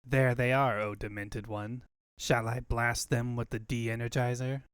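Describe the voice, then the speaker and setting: A man's voice: mid-range voice, level tone, unhurried, clear, resonant tone, no echo, natural voice. A man voicing a servile, sly henchman to a villain in a comic book fandub. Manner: obsequious, servile.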